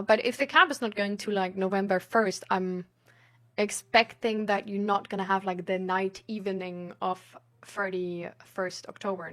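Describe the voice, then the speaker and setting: A woman's voice: mid-range voice, fairly bright tone. A woman live streamer chatting casually with her viewers in a rambling, giggly way with hesitant phrasing. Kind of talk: casual chat with viewers, reading out their messages.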